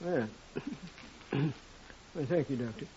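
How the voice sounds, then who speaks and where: An actor playing a plain-spoken, laconic janitor in a 1950s radio drama, with a terse, deadpan, folksy manner. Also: male voice, medium pitch, slow, close to an everyday voice. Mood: dry, awkward. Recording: dull, noisy vintage radio recording with clicks.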